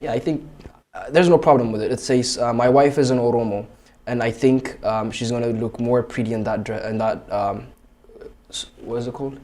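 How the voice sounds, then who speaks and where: Man auctioneer calling bids on a stream, chatty, mid-pitched voice. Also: steady tone, a little fast, darkish tone. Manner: chatty, upbeat, announces bids. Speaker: auction host. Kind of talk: livestream charity auction.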